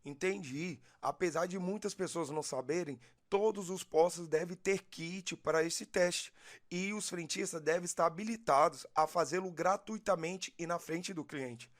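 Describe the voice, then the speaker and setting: A woman's voice: on the low side, normal speed, lightly acted. A woman with a Brazilian accent playing an attentive questioner in a scripted educational cartoon.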